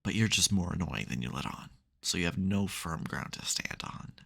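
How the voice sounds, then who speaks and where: A male supervillain as acted by a man speaks in a very deep voice with heavy fry. He teases dryly and grumbles, yet stumbles into flustered softness. It is an intimate ASMR audio drama performance. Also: lively intonation, moderate pace, exaggerated voice. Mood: reassuring, sheepish. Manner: dry teasing, hesitant, mock-grudging. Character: supervillain nemesis, secretly soft, teasing and flustered.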